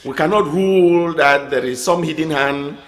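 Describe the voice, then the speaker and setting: A man hosting a political panel, speaking hesitantly and filling his pauses with uh. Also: fairly high pitch. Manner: hesitant, many uh fillers. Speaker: host.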